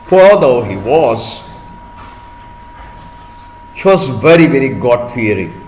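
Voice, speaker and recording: A man telling a folk tale to children in a deliberate manner, pausing often and repeating or explaining words as he goes. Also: mid-range voice, very animated, darkish tone, slight background noise. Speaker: storyteller for children. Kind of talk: storytelling for children, with word explanations.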